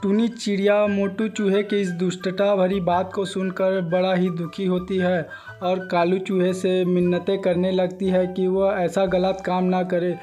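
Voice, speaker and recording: A male narrator reads a scripted moral tale for children. His voice is high for a man and soft-edged, with very even intonation and music playing behind it. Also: a little slow. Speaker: story narrator.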